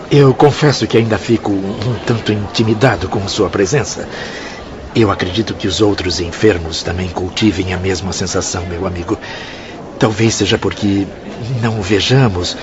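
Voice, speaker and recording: A man's voice: on the low side, moderate pace, music in the background, close to an everyday voice. A man acting a timid, troubled spirit in a radio drama, hesitant and deferential, as if confessing. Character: timid, troubled male suicide spirit in a hospital. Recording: dull lo-fi audio with clear background noise.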